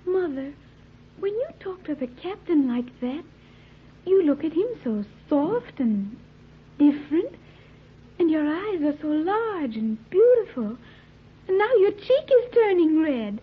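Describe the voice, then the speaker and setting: High-voiced, solemn teenage girl. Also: very animated, unhurried. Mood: innocently puzzled. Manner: formal, precise, earnest diction. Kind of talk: old-time radio adventure serial acting. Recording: muffled, narrow-band old radio recording.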